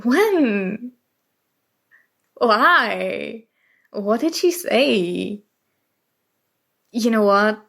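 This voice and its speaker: Actress doing a nerdy college girl in asmr roleplay, slow and halting, flustered, stammery, kinda high voice. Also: expressive, gravelly, fairly bright tone, exaggerated voice. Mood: shocked, flustered. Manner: hesitant, halting, stammering, emotional. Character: nerdy, flustered young female college student confessing a crush. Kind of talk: intimate ASMR roleplay audio acting.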